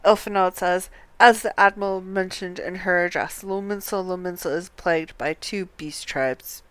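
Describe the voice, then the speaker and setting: A woman streamer reading fantasy game dialogue aloud in a measured, deliberate way, close to the microphone. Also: fairly low pitch, fairly even intonation, fairly bright tone, clean tone, no echo.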